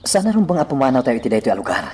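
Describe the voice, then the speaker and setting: An actor with an Ilocano accent playing an earnest grown son in a radio drama, plain and reassuring. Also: male voice, medium pitch, sing-song, racing, mellow, a little hiss or hum, close to an everyday voice. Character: earnest grown son speaking to his mother.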